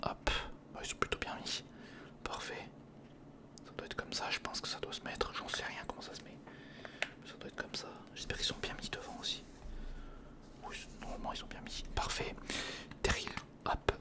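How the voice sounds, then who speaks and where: A man's voice: normal speed, long pauses. A man whispering breathily in a casual ASMR commentary, murmuring step by step to the viewer as if to a friend. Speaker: ASMR video creator, host. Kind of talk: ASMR, casual running commentary while building a model. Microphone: close recorder microphone.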